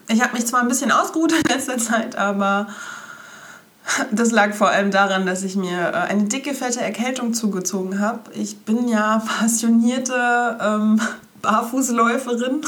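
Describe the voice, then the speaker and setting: A young woman hosts her own podcast, sounding stuffy from a head cold. Her voice sits at an ordinary middle pitch, and she talks to the listener in a cheerful, chatty way. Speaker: podcast host. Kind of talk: casual solo podcast chat.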